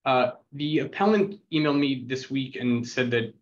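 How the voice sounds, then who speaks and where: A man's voice: mid-range voice, moderate pace, slight background noise. A man representing the city at a virtual board hearing, speaking in a businesslike way as he reads out case details. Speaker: city attorney. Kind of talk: formal hearing remarks. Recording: dull lo-fi call audio.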